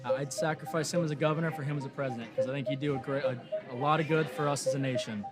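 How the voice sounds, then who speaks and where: A man's voice: mid-range voice, fairly even intonation, very fast. A male voter talking enthusiastically, rambling a little.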